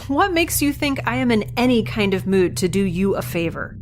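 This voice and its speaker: A woman playing a defiant, quick-witted young researcher who is nauseated and concussed and talks back sardonically. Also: medium pitch, sing-song, darkish tone, faint music behind, natural voice. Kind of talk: audio drama acting.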